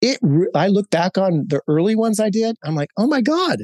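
A man's voice: sits high, very animated, normal speed, a little mellow, no room sound, clean studio sound. A man in his fifties who grew up in Hawaii, giving candid, storytelling interview answers with frequent fillers and restarts. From Hawaii, United States. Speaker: guest, corporate executive.

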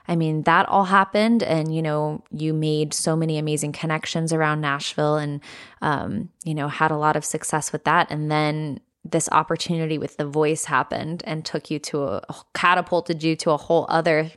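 Female podcast host, deep for a woman, very dry sound, conversational and empathetic interviewing style. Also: smooth, no room sound.

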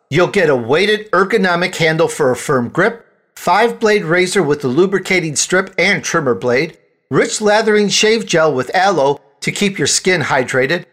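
A man's voice: high-pitched, fairly bright tone. A man hosting a podcast in a chatty salesman's delivery, throwing in rhetorical questions. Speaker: podcast host. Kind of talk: interview hosting and scripted ad reading.

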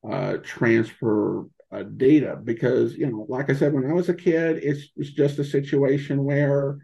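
American guy on a podcast, kinda gravelly, stops and starts while thinking, muffled lo-fi sound. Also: mid-range voice, steady tone, mellow. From United States, rural Montana in early childhood. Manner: halting, thinking aloud with many pauses. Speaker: podcast guest, old-time radio podcaster. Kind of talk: conversational interview answers.

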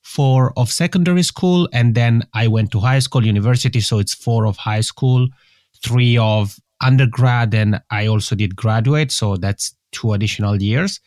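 A man's voice: mid-range voice, normal speed, a little mellow, dry recording. Swiss Italian man, dry close sound. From Italian-speaking Switzerland. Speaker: podcast guest. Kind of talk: conversational interview answers.

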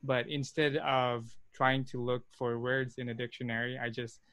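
A man's voice: mid-range voice, moderate pace, dry recording. Chatty man in a dry recording. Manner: relaxed, chatty, frequent fillers. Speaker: conversation partner asking questions. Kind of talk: casual conversation.

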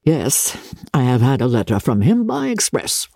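Woman as a low, resigned older gentleman. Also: sing-song, normal speed, creaky, no room sound, clean studio sound, heavily acted. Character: weary, dry older gentleman father.

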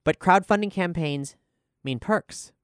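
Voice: medium pitch, measured pace, clean tone, dry recording, clean studio sound. A podcast host and showrunner speaking earnestly and articulately while making announcements and talking about a production.

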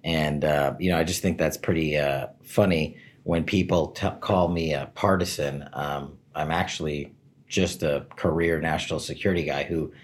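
A deep-voiced man in his forties tells his own career story in an interview. He sounds candid and relaxed, gently mocking his own best-laid plans. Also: moderate pace. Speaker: interview guest.

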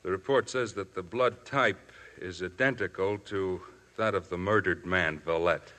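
A man's voice: deep voice, a little slow, bright, forceful tone. An actor playing a matter-of-fact male police inspector giving a formal report in a 1950s radio drama.

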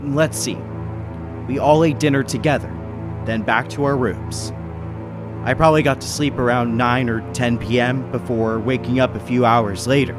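A man playing an anxious businessman who narrates a murder mystery in deliberate, dramatic 1930s radio suspense style. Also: mid-range voice, fairly even intonation, music in the background, natural voice. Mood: pondering.

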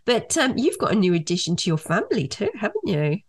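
A woman's voice: medium pitch, wildly swooping pitch, fast talker, slightly rough. A woman hosting a podcast in casual chat, slightly nasal from a cold, chatty with frequent ums and laughs. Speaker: podcast host.

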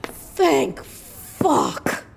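Animated, brash, bossy woman. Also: high-pitched, drawn-out words, creaky, over music, full character voice. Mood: desperate. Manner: blunt, exasperated, commanding. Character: brash, impatient, foul-mouthed, bossy woman with taser. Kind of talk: audio drama acting.

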